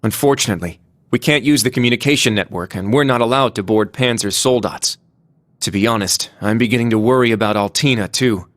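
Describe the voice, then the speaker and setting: An actor playing an earnest, loyal young military student, speaking sincerely and seriously in video game dialogue. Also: male voice, medium pitch, a little fast, a bit bright, no room sound, natural voice. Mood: worried.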